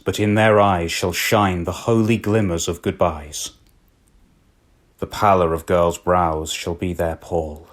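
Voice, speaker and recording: A man reading poetry aloud in a solemn, dramatic recital style with a husky voice. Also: low voice. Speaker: poetry reader.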